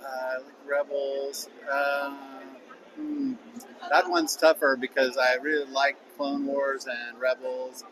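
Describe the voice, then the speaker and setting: A man with a middle-pitched voice gives relaxed, slightly hesitant answers at a convention. The busy hall around him is clearly audible, with vehicle-like rumbles in the background. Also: slow pace, background noise. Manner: easygoing, hesitant. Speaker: convention attendee being interviewed. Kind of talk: casual interview answers.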